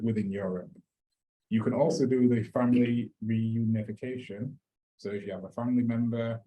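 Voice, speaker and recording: A man explaining a residency program in a relaxed, chatty way, with fillers and restarts. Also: fairly low pitch, poor audio. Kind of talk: informational talk.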